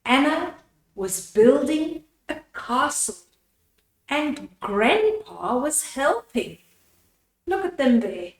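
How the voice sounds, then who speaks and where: A woman's voice: high voice, big pitch swings, slow pace, echoey room. An older woman storyteller reading a bedtime book to young children and explaining the pictures in simple words. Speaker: storyteller, host of a children's read-aloud.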